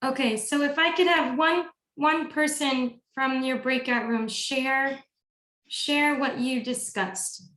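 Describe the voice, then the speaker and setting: Higher-voiced woman facilitator in an echoey room. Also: fairly even intonation, slow, a bit bright. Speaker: training facilitator. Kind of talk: leading an online group training session. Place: online video call. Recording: roomy, echoey video call audio.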